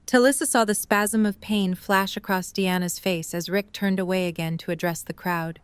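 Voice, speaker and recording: Female narrator for scripted fiction, smooth and crisp, average pitch. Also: fairly even intonation, moderate pace, no room sound.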